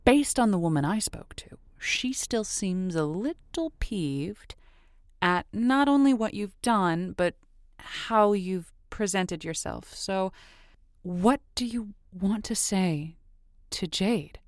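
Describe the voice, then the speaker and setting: A woman's voice: medium pitch, expressive, slow pace, no room sound, studio quality. A woman playing a wry radio matchmaker who hosts a comedy call-in show in a conversational way with dramatic emphasis. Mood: probing. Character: quick, wry female radio matchmaker host. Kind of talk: comedic radio host acting.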